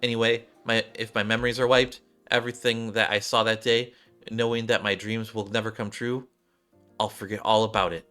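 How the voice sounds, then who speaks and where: A man's voice: fairly low pitch, flat delivery, brisk, sharp tone, smooth, faint music behind. A man voicing a withdrawn, defeated gamer girl from a video game, terse and resigned.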